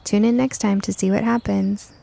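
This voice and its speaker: A woman's voice: medium pitch, steady tone, normal speed, vocal fry, dark tone. A woman reading scripted first-person fiction as its author and narrator.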